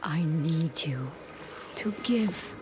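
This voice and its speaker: A slow, low-voiced, uncanny mother. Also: lively intonation, over music, full character voice. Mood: tender, coaxing. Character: uncanny mother, tender yet sinister and controlling.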